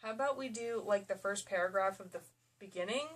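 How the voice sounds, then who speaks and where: A woman's voice: medium pitch, fairly quick. A woman co-hosting in casual comedic banter, joking and irreverent. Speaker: co-host commenting on a book. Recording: noisy amateur video sound.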